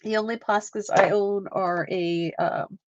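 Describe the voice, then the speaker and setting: A woman's voice: mid-range voice, fairly even intonation, a little slow, no room sound, highs cut off. A woman hosting a casual live art stream, chatting and laughing with viewers as she works, with a slight Canadian accent. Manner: chatty, giggly, talks to viewers while crafting.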